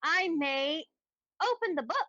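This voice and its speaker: A woman playing a tabletop roleplaying game, speaking in a measured, wry way. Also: high voice, expressive, bright, forceful tone, no room sound, muffled recording.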